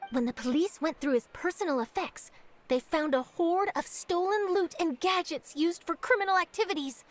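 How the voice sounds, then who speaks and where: A woman playing an eager young journalist chasing a scoop, brisk, upbeat and theatrical. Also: very high voice. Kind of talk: video game voice acting. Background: game score music.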